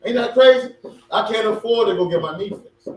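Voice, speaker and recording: A man in his forties with a mixed Philadelphia and Baltimore accent, co-hosting a casual podcast chat, animated and joking. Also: sits high, brisk. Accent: Philadelphia and Baltimore mix. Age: 40s. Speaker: podcast co-host. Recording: roomy echo, far from mic, faint hum.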